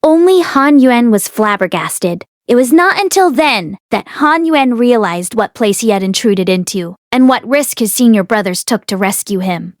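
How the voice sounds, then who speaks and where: A woman's voice: fairly high pitch, no room sound, studio quality. A woman reading a fantasy novel aloud as an audiobook narrator. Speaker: audiobook reader. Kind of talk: expressive storybook narration.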